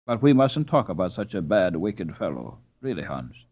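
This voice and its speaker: A man's voice: low-pitched, big pitch swings, normal speed, darkish tone, muffled recording, pitched below the performer's own voice, heavily acted. A man voicing a kind, gaily teasing young Dutch girl who pleads sweetly in a storybook. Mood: earnest, pleading. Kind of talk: storybook character reading.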